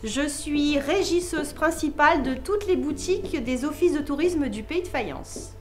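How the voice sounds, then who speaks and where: A woman with a high voice presents a visitor site in a scripted welcome, as its co-manager. Faint music plays behind her. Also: a little slow.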